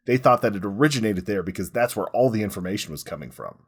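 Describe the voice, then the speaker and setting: A man hosts a history podcast in a somewhat low register. His voice has a husky grain, and he tells the story in a conversational, informal way. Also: animated, fast talker. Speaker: podcast host.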